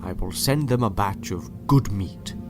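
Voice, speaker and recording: A man's voice: on the low side, measured pace, close to an everyday voice. A man acting a sinister, urbane doctor in an audio drama, polite, theatrical and condescending, with cool menace. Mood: smug, condescending. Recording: loud music behind the voice. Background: loud music.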